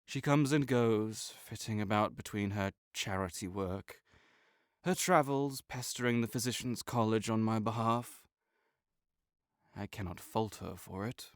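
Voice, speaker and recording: A man playing a sickly, proud and melancholy nobleman in an intimate audio roleplay, speaking formally with measured, old-fashioned phrasing. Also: on the low side, no echo, clean studio sound. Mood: melancholy, brooding.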